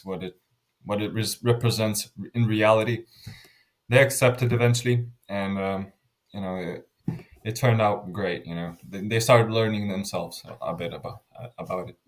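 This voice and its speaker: A man in his twenties from Lithuania, answering interview questions earnestly, often restarting his sentences and trailing off softly. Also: fairly low pitch. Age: 20s. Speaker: interview guest.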